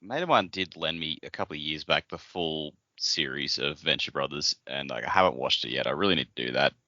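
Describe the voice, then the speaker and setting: A man's voice: extremely low pitch, animated, brisk, pushed and bright, no echo, dull audio. A man co-hosting a podcast in loose, casual conversation. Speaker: podcast co-host. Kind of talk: casual chat.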